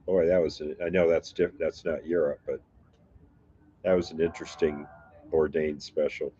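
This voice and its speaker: A man's voice: rumbling low, flat delivery, mellow, slightly rough, highs cut off. A man from the United States hosting a casual chat with viewers, sounding a little nasal from allergies and rambling with frequent restarts. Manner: rambling, halting, self-correcting. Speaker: YouTube reaction channel host. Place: home in a Mexican town.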